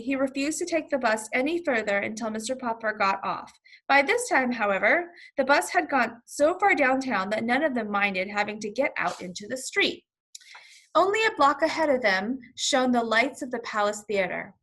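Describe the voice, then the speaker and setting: A woman reading a children's story aloud as a first-grade teacher, expressive and instructional, pausing to explain words simply. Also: fairly high pitch. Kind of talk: children's read-along with vocabulary explanations.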